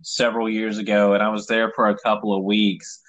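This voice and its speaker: A man's voice: fairly low pitch, little pitch movement, a little fast, no room sound. Wry Southern American man, dry close sound. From Tennessee. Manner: wry, sweary, acts out quoted remarks. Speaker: podcast host. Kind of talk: casual comedic chat.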